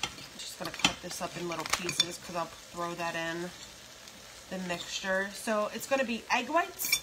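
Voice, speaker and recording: A middle-aged American woman chatting casually and rambling a little as she cooks for an online audience in her home kitchen. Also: on the low side. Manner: unhurried, rambling asides while busy cooking. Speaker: home cook and online host. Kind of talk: casual cooking livestream chat. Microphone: phone propped nearby. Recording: noisy kitchen, sizzling pan. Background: frying sizzle and stirring.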